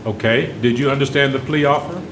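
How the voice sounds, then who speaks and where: A man's voice: mid-range voice, brisk, fairly bright tone, slight background noise. Firm male judge repeating questions. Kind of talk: courtroom bond hearing.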